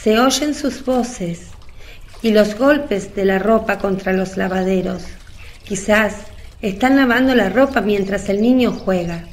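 Woman narrating religious radio play, slow n solemn, medium pitch.

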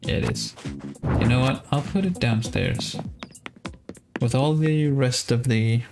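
A man in his thirties with a Danish accent, giving relaxed, casual live game commentary and musing aloud as he plays. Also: mid-range voice, measured pace, gravelly. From Denmark. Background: guitar music.

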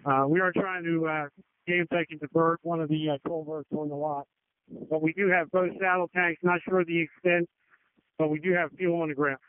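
A man's voice: on the high side, fairly even intonation, a little fast, clean tone, no echo. A man giving incident command reports over emergency two-way radio. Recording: tinny band-limited fire radio transmission.

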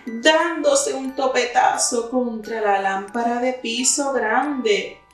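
A young woman reads a children's story aloud in a high voice, unhurried and expressive. She sounds set back from the microphone in a very echoey room. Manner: slow, deliberate storytelling. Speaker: children's story reader, teacher. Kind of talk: expressive picture-book read-aloud for children. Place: indoor room with wooden cabinet and plants. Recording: very echoey room, distant mic, faint music.

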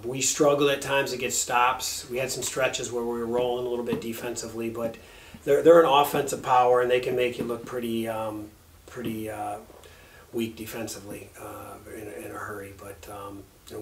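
Middle-aged man, basketball coach, flat monotone, halting speech, echoey press room. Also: medium pitch. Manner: halting, many fillers and restarts. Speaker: head coach. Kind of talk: post-game press conference. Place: press room with backdrop and table. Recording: echoey room, mic a little distant, faint hum.

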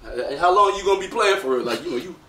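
A man acting in a comedy sketch as basketball players in a locker room, loud and teasing, shouting between laughs. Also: high voice, animated, quick pace, slight background noise. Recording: echoey room clip.

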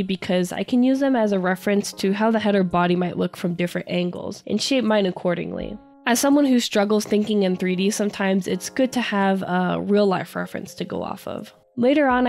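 A woman's voice: medium pitch, darkish tone. A woman with a congested, slightly nasal voice from a cold, narrating a friendly, explanatory craft tutorial as a voice-over. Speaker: craft YouTuber.

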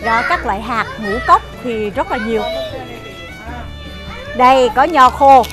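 A woman's voice: on the high side, animated, unhurried, a bit bright, faint music behind. Chatty woman host on a walking tour. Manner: chatty, many "ờ" fillers, addresses viewers as "quý vị". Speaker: travel show host. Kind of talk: walking tour narration to camera. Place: outdoors at a farm market and inside its shop.

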